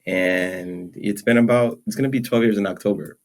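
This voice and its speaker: A young man born in Nigeria, co-hosting a podcast in relaxed casual chat with frequent fillers and laughs. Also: lowish voice, moderate pace. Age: young adult. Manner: laid-back, laughs often, many "like" fillers. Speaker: podcast co-host. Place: room, seated in chairs.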